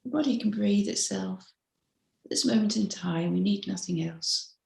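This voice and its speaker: Gravelly-voiced woman guiding a slow meditation. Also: mid-range voice. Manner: gentle, unhurried, with soft instructive phrasing. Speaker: mindfulness teacher leading a body scan. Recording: roomy, echoey sound.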